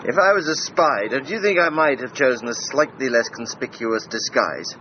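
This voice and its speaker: A suave Edwardian gentleman adventurer with an English accent. His delivery swings widely and stays wry and urbane, in period radio-drama style. The sound is slightly dull. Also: medium pitch, expressive, moderate pace, a little hiss or hum. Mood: breezy, polite. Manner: urbane, wry, formal old-fashioned phrasing. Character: masked Edwardian gentleman adventurer, suave, breezy, self-regarding. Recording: dull, band-limited broadcast audio.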